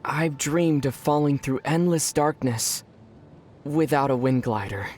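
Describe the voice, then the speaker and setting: A man playing a calm, thoughtful young adventurer in a video game, speaking in a reflective, gently teasing manner. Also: mid-range voice, a little slow, natural voice. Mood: pensive. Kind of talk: video game character voice acting.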